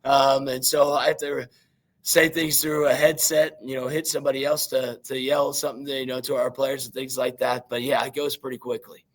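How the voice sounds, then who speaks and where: A man with a naturally raspy voice and a Midwestern American accent, a young high school football coach giving rambling interview answers full of fillers. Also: little pitch movement, a little fast, rough recording. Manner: rambling, many fillers and restarts.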